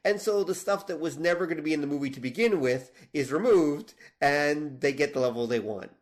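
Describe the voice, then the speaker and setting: A man's voice: a little high, fairly quick. A man co-hosting a podcast, explaining his points casually and agreeably. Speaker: podcast co-host.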